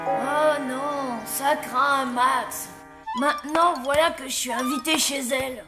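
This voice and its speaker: A lovestruck young zombie boy for a cartoon, high in pitch and slow in delivery. He talks in slang, boastful one moment and awkward the next. Loud music plays behind him. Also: steady tone. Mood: dismayed. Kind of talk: French cartoon dubbing.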